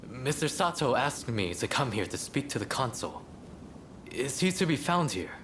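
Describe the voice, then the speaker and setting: An actor playing an earnest, cautious samurai scholar in a video game, speaking formally and articulately. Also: male voice, mid-range voice, big pitch swings, moderate pace, background noise, close to an everyday voice. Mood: surprised. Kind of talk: video game cutscene acting. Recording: background music under the voice.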